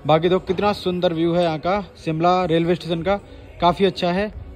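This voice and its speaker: Excited young man vlogging by phone. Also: high-pitched, brisk. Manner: excited, chatty, addresses viewers as guys. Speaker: travel vlogger. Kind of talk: casual travel vlog to camera. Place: outdoors on roads and inside moving cars. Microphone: phone held at arm's length. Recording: outdoor street noise. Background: traffic and wind noise.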